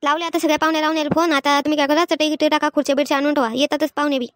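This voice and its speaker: A quarrelsome village woman of cartoon comedy, acted by a woman, high-pitched and quick. She speaks rural Varhadi Marathi with a blunt, exasperated, complaining manner, recorded close and dry. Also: flat delivery, dry recording, natural voice. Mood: matter-of-fact, impatient. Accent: rural Marathi, Varhadi dialect. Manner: scolding, complaining. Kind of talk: animated family comedy dialogue.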